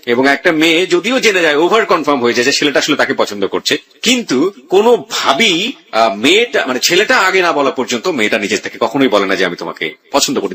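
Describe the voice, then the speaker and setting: A man's voice: a little high, sharp tone. A man with a Bangladeshi accent hosting a radio interview, addressing listeners directly and mixing in English words. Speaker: radio show host.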